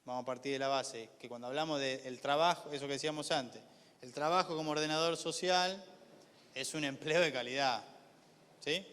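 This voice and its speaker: A man with a Buenos Aires accent gives an informal class lecture, haltingly, repeating words and posing rhetorical questions to his listeners. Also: on the high side, fairly bright tone, clean tone. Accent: Argentine, Buenos Aires area. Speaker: lecturer, university official teaching a class. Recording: echoey hall with long reverb.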